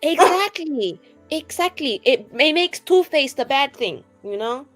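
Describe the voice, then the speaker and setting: A woman casually roleplaying at a tabletop game, expressive and quick to joke. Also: sits high, soft background music. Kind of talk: casual, joking in-character roleplay and table talk.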